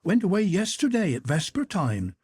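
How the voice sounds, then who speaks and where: A man voicing an old woman housekeeper in a spoken storybook, sharp-tongued with servants and kindly toward a visitor, in a raised husky voice. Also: high voice, very animated, moderate pace, voice pushed up, full character voice. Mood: cordial. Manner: sharp and scolding, then cordial. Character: old woman housekeeper, bustling, scolding yet kind.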